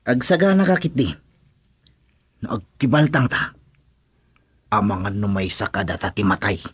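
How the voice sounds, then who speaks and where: A cautious, calculating henchman as a man plays him in a radio drama. His husky voice moves in a lively way, and he keeps warning his partner. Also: fairly low pitch, moderate pace, exaggerated voice. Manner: calm, warning.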